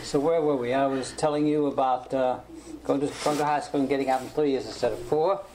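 Halting older Austrian-born man, roomy sound. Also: medium pitch, steady tone, darkish tone, a little hiss or hum. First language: German. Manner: halting, repeats words as he recalls. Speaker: interviewee. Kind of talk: oral history recollection. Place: home kitchen. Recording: roomy home recording.

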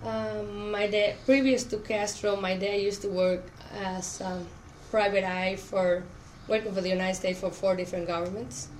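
A woman's voice: mid-range voice, little pitch movement, normal speed, a little mellow, poor audio. A middle-aged woman from Cuba, a Spanish speaker, answering questions in an oral history interview. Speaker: interviewee, auto plant worker. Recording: clear background noise.

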